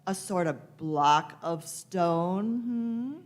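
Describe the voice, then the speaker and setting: A woman's voice: fairly low pitch, lively intonation. Woman acting a wry, knowing time traveler. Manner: deliberate, knowing, punctuated with hmms. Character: wry, shrewd, teasing time-traveling Doctor. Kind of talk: live radio-play acting.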